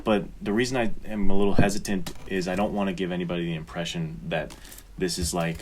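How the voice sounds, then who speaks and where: A man's voice: low-pitched, fairly even intonation, fast, a little hiss or hum. A man co-hosting a podcast, talking informally about books and explaining his thoughts in a casual chat. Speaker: podcast co-host.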